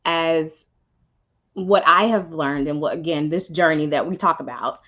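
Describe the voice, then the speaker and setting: A woman from Houston, Texas, a podcast guest sharing her faith testimony in a reflective, halting way with many ums. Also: sits low, a bit bright, lo-fi sound. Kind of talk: personal testimony in conversation.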